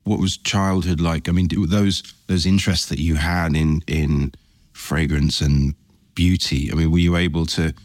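A man's voice: extremely low pitch, fairly even intonation, moderate pace, a little mellow, no room sound, clean studio sound. A male host asking friendly, curious questions in an interview, often restarting his sentences. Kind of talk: conversational interview.

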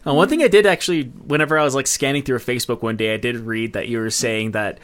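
A man's voice: medium pitch, fast talker, a bit bright. Casual, rambling man in conversation. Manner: rambling, conversational, frequent use of "like". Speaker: podcast co-host. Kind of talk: casual chat.

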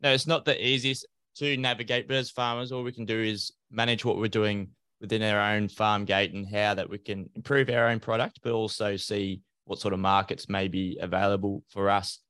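A man who hosts a farming podcast, asking questions in a relaxed conversational way. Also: lowish voice, normal speed, clear, resonant tone, no room sound. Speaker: podcast host and farmer. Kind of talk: casual interview hosting.

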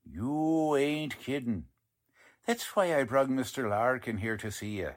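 A woman acting as a plain-spoken lawman in old-time radio style. The voice is low and swings widely in pitch, with slow, folksy, slangy delivery. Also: animated. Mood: gruff, resigned.

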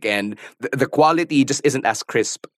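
A man's voice: mid-range voice, moderate pace, fairly bright tone, dry recording, clean studio sound. A Filipino man, a podcast host and sports broadcaster, speaking in a casual conversational interview style. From Philippines. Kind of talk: casual interview chat mixing Tagalog and English.

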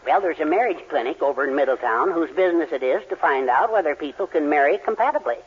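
Actor as a henpecked, high-pitched radio-sitcom father. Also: animated, quick pace, close to an everyday voice. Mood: meekly cheerful. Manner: meek, doting, droll. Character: henpecked, mild, cheerfully folksy middle-aged father. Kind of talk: 1940s radio sitcom acting. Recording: muffled 1940s radio, faint noise.

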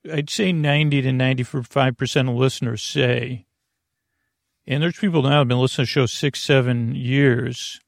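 A man with a creaky, gravelly voice at a middling pitch talks as a podcast host in a bedtime monologue. He meanders and halts, drifting off into tangents and leaving thoughts unfinished. The sound is close and dry. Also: dry recording. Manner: meandering, halting, trails off into tangents.

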